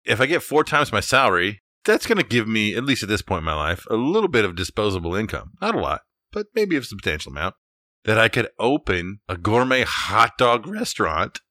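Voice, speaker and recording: Very bright, highly animated man. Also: a little fast, dry recording, clean studio sound. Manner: joking, playful. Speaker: podcast co-host. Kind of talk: casual chat.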